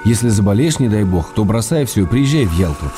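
An actor playing a tender, wry husband reading his love letters aloud in a soft, unhurried radio drama manner. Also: on the low side, animated, natural voice. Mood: loving, pleading. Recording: music behind the voice.

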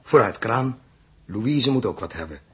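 An actor playing a weary, puzzled man who is gentle with a child, in a hesitant 1960s radio drama delivery. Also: fairly low pitch, animated, a little slow, husky, full character voice.

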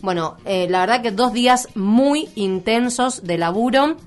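A woman's voice: a little high, measured pace, soft background music. A woman with an Argentine accent hosting a radio show, chatting and then reporting at length on an event. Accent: Argentine Spanish. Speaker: radio host. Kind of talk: casual radio chat and report.

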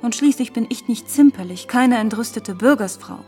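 A woman's voice: on the high side, moderate pace, darkish tone, soft background music, lightly acted. An actress playing a cool, worldly lady who teases haughtily and drops French phrases. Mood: cool, mocking.

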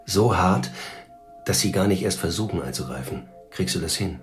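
Low-voiced, commanding police commissioner. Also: male voice, expressive, normal speed, faint music behind. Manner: terse, authoritative, dry. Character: male police commissioner, calm, commanding, quietly conspiratorial. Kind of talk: radio crime drama acting.

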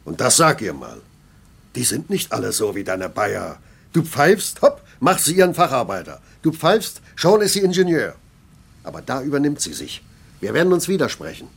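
An actor playing a blunt, sarcastic middle-aged factory master in a German radio play, gruff and colloquial. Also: male voice, mid-range voice, very animated, normal speed, heavily acted. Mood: irritated, mocking. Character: grumbling, blunt older factory master, male.

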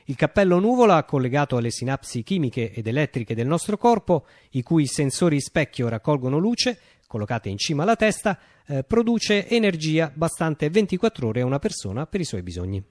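A man's voice: a little high, very animated, darkish tone, dry recording, clean studio sound. Man acting a declamatory, swooping utopian ruler. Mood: musing, visionary. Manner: declamatory, halting with self-corrections. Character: eccentric self-styled ruler decreeing a utopian new order. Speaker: actor performing a radio monologue. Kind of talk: theatrical radio monologue.

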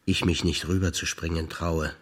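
A man, a stage actor, calmly reciting a series of childhood memories in a theatre performance. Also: very deep voice. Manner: calm, measured recitation.

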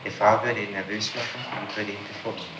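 A man with a fairly low voice speaks as a prosecutor on an old, noisy tape. He is formal and deliberate in an echoing room, putting insistent questions or reading statements. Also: steady tone. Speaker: public prosecutor. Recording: noisy echoey archival tape recording.

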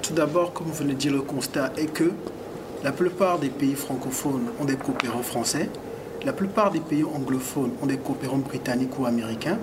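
A man's voice: medium pitch, brisk. A man from Togo, a rapper and activist, answering interview questions earnestly, often repeating and restarting his words. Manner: earnest, animated, with frequent repetitions and restarts. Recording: audible background noise.

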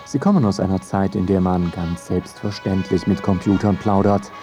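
A man's voice: low-pitched, fairly even intonation, normal speed, music in the background. A man narrating a scripted radio feature in a wry storytelling way.

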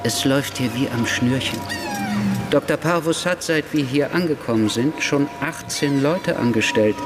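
A man's voice: mid-range voice, moderate pace, over music, lightly acted. A man acting a terse, matter-of-fact German official in a radio play, recounting events in a clipped, dry way. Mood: reporting.

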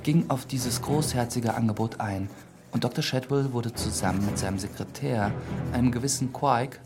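An actor playing an earnest, deferential priest who narrates a formal letter in a radio drama. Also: male voice, mid-range voice, music in the background, natural voice. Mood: dutiful. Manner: formal, measured, humble.

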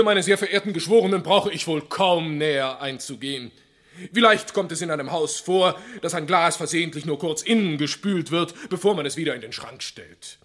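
Impassioned husky defence lawyer, sweeping pitch. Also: male voice, fairly bright tone. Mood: dismissive. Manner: rhetorical, pathos-laden, dramatic pauses. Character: impassioned defence lawyer pleading theatrically to a jury. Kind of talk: German crime radio play, courtroom oratory.

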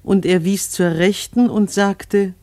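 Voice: mid-range voice, steady tone, slow pace, darkish tone, no room sound. Solemn narrator in a very dry studio. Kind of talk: solemn scripted radio narration, biblical cadence.

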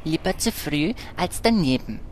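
Woman as a low-voiced, snappy huntress. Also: lively intonation, moderate pace, darkish tone, a little hiss or hum. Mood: defensive. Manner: snappy, defensive. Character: exasperated bickering female hunter. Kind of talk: German audio drama parody acting.

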